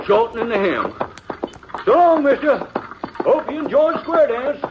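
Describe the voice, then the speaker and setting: A man's voice: extremely high pitch, big pitch swings, normal speed. An actor playing a chatty, folksy frontier wagon peddler with a rural Western American accent and an eager salesman's patter, in 1950s radio western style. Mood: flustered. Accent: rural American West. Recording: muffled, noisy old radio recording.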